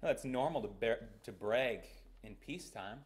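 A man's voice: medium pitch, animated, normal speed. A young man with an American accent preaching a sermon in a small church, earnest and emphatic, gesturing as he speaks. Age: young adult. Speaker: pastor. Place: small church sanctuary, indoors. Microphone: fixed camera at the front of the church.

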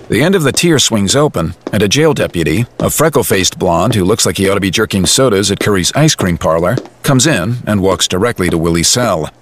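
A man's voice: low voice, slight background noise. A man narrating a dramatised audiobook in a wry, colloquial storytelling manner.

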